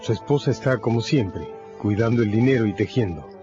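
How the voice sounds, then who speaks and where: A male narrator with a low voice tells a radio drama in scripted, dramatic style. Music runs behind him and the audio is slightly dull. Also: fairly quick.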